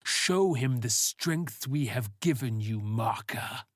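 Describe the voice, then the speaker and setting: Stern cult leader, read by a male narrator, grandiose and solemn, drawn-out delivery, audiobook villain. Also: mid-range voice, expressive, dry recording, studio quality. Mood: imperious.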